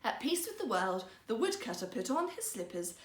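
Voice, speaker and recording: A woman's voice: fairly high pitch, big pitch swings, moderate pace, distant mic. A woman storyteller reading a fairytale aloud in a theatrical style. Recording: roomy, echoing sound.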